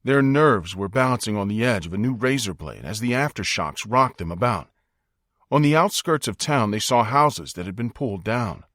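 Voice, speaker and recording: A male audiobook narrator with an American accent reads dramatic scripted prose. His delivery is measured and suspenseful, with lively pitch movement, and the sound is dry and close. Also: no echo.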